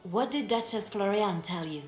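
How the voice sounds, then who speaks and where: An actress playing a stern political adviser in a video game, speaking in a grave, measured way. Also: female voice, medium pitch, expressive, darkish tone, close to an everyday voice. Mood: grave, pressing. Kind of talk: video game cutscene dialogue. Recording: roomy echo, faint music behind.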